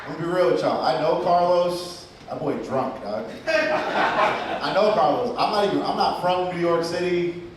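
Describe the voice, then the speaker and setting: A man's voice: a little high, normal speed, very noisy. A young man doing a stand-up set, bantering with the crowd in brash slang. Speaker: stand-up comedian. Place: comedy club stage. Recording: roomy club sound from far off with loud crowd.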